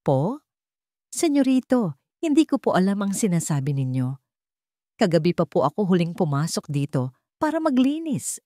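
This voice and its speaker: A woman's voice: lowish voice, very animated, moderate pace, pauses often, warm tone, no room sound, studio quality. A woman voicing a frightened, deferential housemaid who pleads her innocence in a dramatic audio story. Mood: fearful, pleading. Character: frightened, pleading young housemaid wrongly accused.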